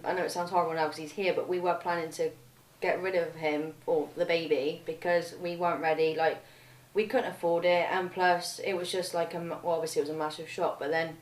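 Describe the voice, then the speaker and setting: A woman's voice: on the low side, far from the mic. A 22-year-old woman speaking candidly in a documentary interview, informal and hesitant, often correcting herself. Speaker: young mother being interviewed. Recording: echoey room with faint background noise.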